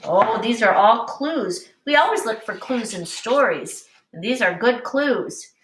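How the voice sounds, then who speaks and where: Animated woman teacher reading aloud, echoey. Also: a little high, slight background noise. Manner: animated, deliberate, explaining to children. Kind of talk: expressive picture-book read-aloud with teaching asides. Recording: echoey room, distant mic.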